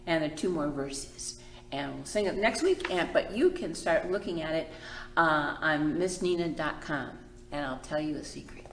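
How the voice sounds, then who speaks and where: A woman's voice: sits low, expressive, normal speed, a little hiss or hum. A woman hosting a children's storytime, speaking expressively in simple phrases and drawing words out for young listeners. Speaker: children's librarian and storytime host. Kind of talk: children's storytime reading and teaching. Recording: echoey room.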